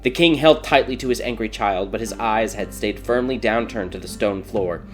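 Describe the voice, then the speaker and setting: A man's voice: lowish voice, normal speed, faint music behind. A man reading a scripted fairy tale as a dramatic audiobook narrator.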